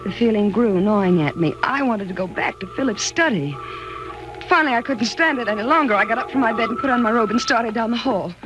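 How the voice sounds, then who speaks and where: Noisy vintage radio recording, actress as anxious frightened wife, 1940s thriller acting, dramatic, halting, middle pitch. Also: female voice, natural voice. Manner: dramatic, halting and stammering when afraid. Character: frightened, anxious wife narrating her growing dread.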